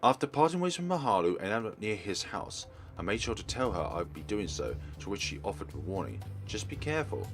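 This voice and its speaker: A man's voice: on the low side, sing-song, fairly quick, few pauses. A man hosting a let's play, reading visual novel dialogue and narration aloud in character. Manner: reads in character, shifting between parts. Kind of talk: dramatic read-aloud of visual novel text. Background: soft game music.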